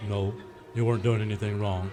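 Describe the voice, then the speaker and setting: A man's voice: lowish voice, flat delivery, a little fast, loud music behind the voice, natural voice. An actor playing a laid-back, folksy country man in a radio serial parody, talking in a rambling way. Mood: official, easygoing.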